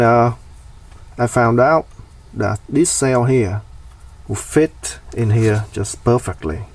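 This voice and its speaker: A man's voice: mid-range voice, a little mellow, far from the mic, a little hiss or hum. A man presenting a casual, hands-on DIY explainer.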